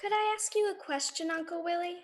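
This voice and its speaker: A woman's voice: extremely high pitch, fairly even intonation, a little fast. A woman playing an earnest, inquisitive little girl with a direct, innocent manner in a live radio drama. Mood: curious.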